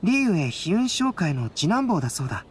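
A man voicing a calm, analytical young scholar-artist in an anime game, speaking in a composed, polite way. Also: high-pitched, fairly quick, slight background noise. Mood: thoughtful.

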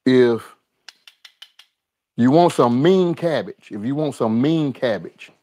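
A man in his home kitchen hosts a live cooking stream, talking at an unhurried, drawn-out pace. He speaks to the viewers as y'all and says his lines again and again. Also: mid-range voice, animated, mellow, no echo. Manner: drawling, talks to viewers as y'all, repeats lines. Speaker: cooking show host. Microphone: overhead camera on the skillet, speaker unseen.

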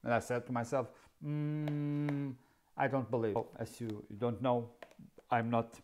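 A man in his fifties with a Portuguese accent, a native Portuguese speaker, explaining camera gear in a dry, sarcastic way, seated in a dark studio. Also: medium pitch, level tone, measured pace. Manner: wry, sarcastic, asks himself questions. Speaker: camera video host. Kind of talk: casual tech explainer.

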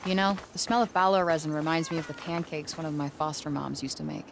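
Video game heroine: young woman, low voice, guarded, wry, conversational, game sounds behind. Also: quick pace, vocal fry, slight background noise. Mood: wistful. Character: guarded, wry young woman adventurer raised in foster care.

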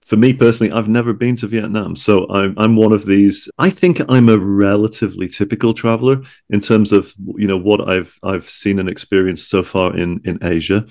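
Male interviewer for a podcast, slightly deep, chatty and wordy. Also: fairly even intonation, a little fast, hardly any pauses, a little mellow. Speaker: podcast host. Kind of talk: conversational interview.

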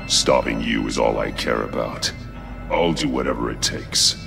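A grim masked vigilante for a video game. The voice is extremely low and gravelly, with stern, clipped delivery and music underneath. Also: male voice, moderate pace, exaggerated voice. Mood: grim resolve. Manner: stern, terse, brooding. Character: grim, determined masked male vigilante detective. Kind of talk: video game cutscene acting.

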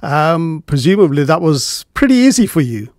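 A man's voice: on the high side, very animated, moderate pace, darkish tone, no echo. Middle-aged male presenter; friendly, hesitant questioning, close dry mic. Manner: friendly questions with many uh fillers. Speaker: radio presenter. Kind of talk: casual radio interview. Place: radio studio. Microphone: studio microphone with headphones.

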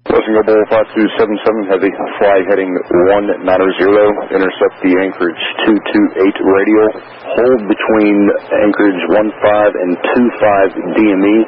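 A man's voice: fairly low pitch, level tone, normal speed, hardly any pauses. A man working as an air traffic controller, giving clipped instructions over aviation radio. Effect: aviation radio transmission. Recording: dull, noisy aviation radio audio.

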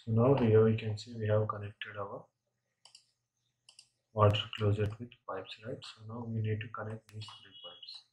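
A man instructing step by step in an unscripted software tutorial, often tagging his phrases with a short check-in question. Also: fairly low pitch, flat delivery, normal speed, low-quality recording.

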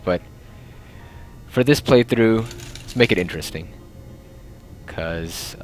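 A young man casually narrating a game, rambling and joking as he plays. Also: low voice. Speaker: let's play host. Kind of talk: casual gameplay commentary.

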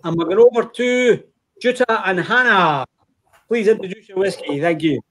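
A chatty man hosts a tasting over a video call, his voice sitting fairly high for a man. He talks in an easygoing, friendly way with quick asides, and the sound is plain laptop-call audio. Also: fairly bright tone. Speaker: host of an online whisky tasting. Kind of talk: casual chat on a video call.

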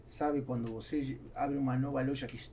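A man in his forties, a native Spanish speaker with a South American accent, talking haltingly as a guest in an interview. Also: mid-range voice, steady tone, dark tone, a little hiss or hum. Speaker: business owner, podcast guest. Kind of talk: casual interview chat. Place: small foam-treated podcast studio. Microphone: podcast mic on boom arm.

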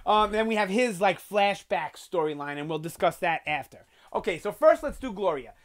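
Young New guy hosting a TV review channel, animated and loose, lots of "um" and "you know", webcam sound. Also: sits high, normal speed. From New York. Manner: animated, gesturing a lot, chatty with fillers. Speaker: TV review host. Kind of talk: casual video review. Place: small bedroom with collectibles on shelves.

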